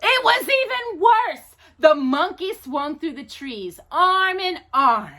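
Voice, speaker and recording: A woman with a very bright voice reads a picture book aloud as a storytime host. Her delivery is unhurried and swings widely in pitch. She stretches key words playfully and turns aside to chat with her listener. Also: big pitch swings. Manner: addresses a companion directly while reading. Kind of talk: animated children's story reading with chatty asides.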